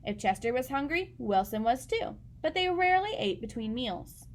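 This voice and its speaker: A woman reading a children's picture book aloud in an expressive storytelling style, speaking as if to young listeners. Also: sits high, moderate pace.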